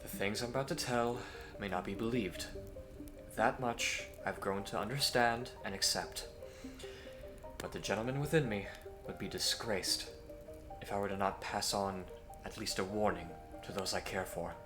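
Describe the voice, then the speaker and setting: A man playing a courteous, earnest young Victorian gentleman hero in a scripted audio roleplay, with formal, hesitant phrasing. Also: fairly low pitch, normal speed, over music, natural voice. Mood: courteous, solemn.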